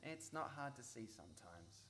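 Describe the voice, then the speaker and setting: A man acting an awkward, caring young Australian friend in a radio play, exasperated but loyal, speaking in a halting way. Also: mid-range voice, very animated, a bit bright. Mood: hesitant, gentle. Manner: halting, self-correcting. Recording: noisy live radio play recording.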